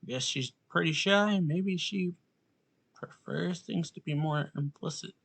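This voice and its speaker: Bright, raspy male gaming streamer. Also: a little high, measured pace. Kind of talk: casual let's play commentary, reading choices aloud.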